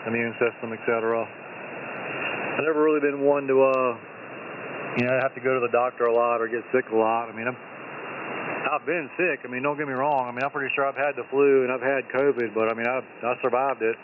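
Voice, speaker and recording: A man's voice: mid-range voice, fairly even intonation, fairly quick. Man on a noisy two-way radio. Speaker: amateur radio operator chatting on air. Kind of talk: casual radio chat. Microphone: two-way radio microphone. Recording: narrow tinny two-way radio, loud noise. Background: loud radio noise.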